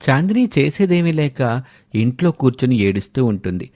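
A man narrating a moral story in a measured storytelling manner. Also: medium pitch, big pitch swings, dark tone.

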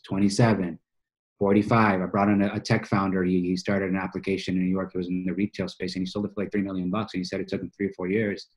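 A man in his early thirties from Boston talks as an interview guest. His voice sits low with limited melodic movement. He tells his story informally, with plenty of slang. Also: darkish tone, husky, rough recording. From United States, Boston. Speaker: podcast guest, financial coach. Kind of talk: casual conversational storytelling. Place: small office, indoors, on a video call.

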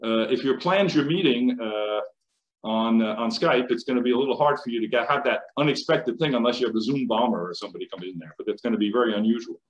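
Middle-aged American professor, halting panel delivery, average pitch, echoey call audio. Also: male voice, dull audio. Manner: hesitant, many fillers and restarts. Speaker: panelist, chemical engineering professor. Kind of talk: conference panel remarks. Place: home office. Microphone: video call. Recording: roomy video call audio.

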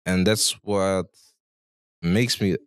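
A young man with a deep voice chats as a guest on a talk show. His speech is loose and slangy, full of "bro" and "you know". The sound is close and dry, from a handheld mic. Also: steady tone, very slow, clean tone, no room sound. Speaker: interview guest. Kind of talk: casual chat, storytelling. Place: small barbershop, indoors. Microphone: handheld microphone.

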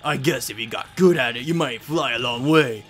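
A man's voice: mid-range voice, moderate pace, a bit bright, faint music behind, close to an everyday voice. A man voicing a gleeful, mocking demon villain in a theatrical way for a video game. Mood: gleeful taunting.

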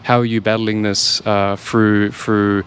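Smooth, flat-voiced New Zealand interviewer. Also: male voice, fairly low pitch. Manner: affirming, quick follow-up questions. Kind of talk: casual interview. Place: large indoor martial arts gym. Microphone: handheld mic.

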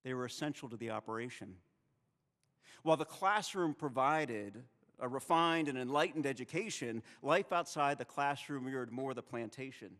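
A man in his thirties delivers a sermon into a lectern microphone. His preaching is measured and rhetorical, with dramatic pauses. The long reverb of a chapel hall trails behind his words. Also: medium pitch, a bit bright. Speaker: seminary president preaching in chapel. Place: chapel with stone wall, at a wooden lectern.

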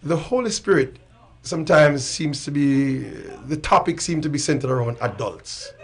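A man who is a pastor, announcing church events and preaching a little on a radio show, speaking softly with some hesitations. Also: on the high side, animated, moderate pace, breathy edge.

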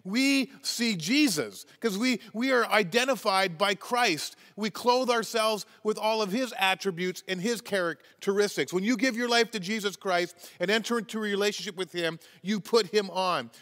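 A man's voice: high voice, moderate pace, few pauses, fairly bright tone, in a large hall. A Canadian man in his forties, a pastor preaching a sermon from a church stage, earnest and emphatic. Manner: earnest, emphatic, gestures constantly while preaching. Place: church stage with wooden wall, large hall.

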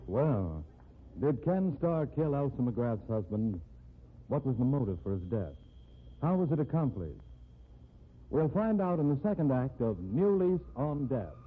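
A man's voice: medium pitch, sing-song, unhurried, husky. A man announcing and narrating a scripted 1950s radio mystery. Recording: tinny, noisy old radio recording.

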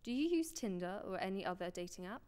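Off-camera woman interviewer, matter-of-fact, mid pitch. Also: animated, quick pace, a little mellow, smooth. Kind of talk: off-camera questions.

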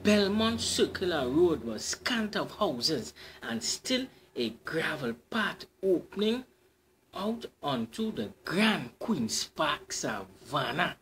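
A man's voice: a little high, big pitch swings, takes it slowly, fairly bright tone, slight background noise, rough recording. A man with a Trinidadian accent reading a folk tale aloud as storyteller, in a dramatic storybook manner.

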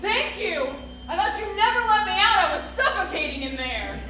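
Shrill, distraught stage heroine. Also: female voice, very animated, normal speed, noisy background. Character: distraught, furious woman in a stage drama. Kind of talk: stage acting. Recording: echoey distant theatre stage, music over it.

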